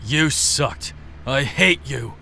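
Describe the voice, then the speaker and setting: A man's voice: medium pitch, lively intonation, slow, gravelly, pushed and bright, a little hiss or hum, heavily acted. A man playing a blunt, hostile, distrustful fighter in an audio drama.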